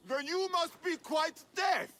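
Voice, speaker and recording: A man's voice: very high-pitched, bright, forceful tone, slight background noise, heavily acted. A man voicing a boastful, haughty, hot-tempered Olympic trainer in a video game, theatrical and scoffing. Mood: dismissive. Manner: pompous, scoffing, declamatory.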